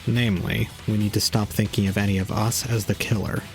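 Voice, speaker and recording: Low-voiced cold young man, acted by a man, gravelly and flat, deliberate audio drama fatalist. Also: faint music behind. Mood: coldly logical. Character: cold young man preaching despair with detached logic.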